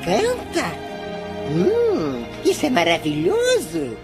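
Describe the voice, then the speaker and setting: A woman's voice: high-pitched, wildly swooping pitch, takes it slowly, music in the background, full character voice. A woman voicing a fussy, timid, nosy little creature sidekick in a cartoon dub, with a Brazilian accent. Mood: wondering. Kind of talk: animated cartoon dub acting.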